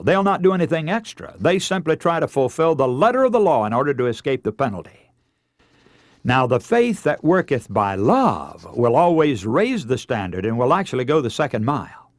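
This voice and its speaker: An American man preaching a radio sermon in an earnest, emphatic way, leaning deliberately on key words and telling illustrations. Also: mid-range voice, no echo, studio quality.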